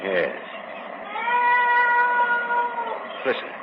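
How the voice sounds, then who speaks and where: An actor playing an uneasy painter in an old radio ghost story, with an intense, dramatic delivery. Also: male voice, fairly quick, lightly acted. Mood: questioning. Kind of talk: 1940s-50s American radio acting. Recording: noisy, muffled old radio recording.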